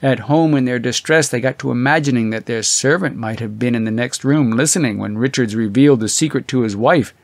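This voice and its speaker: A man's voice: medium pitch, dry recording. Man narrating an audiobook, dry sound. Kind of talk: scripted literary narration.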